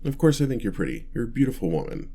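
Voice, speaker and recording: A man's voice: medium pitch, big pitch swings, fast, warm tone, breathy edge. A man voicing an awkward, kindly, inept vampire in an ASMR roleplay, stammering and hesitating as he talks to the listener. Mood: bashful, earnest. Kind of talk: ASMR roleplay, one-sided dialogue to listener.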